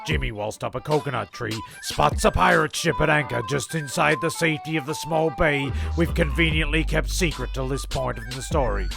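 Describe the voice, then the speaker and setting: A man's voice: mid-range voice, vocal fry. A man hosting and narrating a comedy podcast in a rambling, colloquial, mock-serious way, often stumbling over his words. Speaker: host and narrator. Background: music.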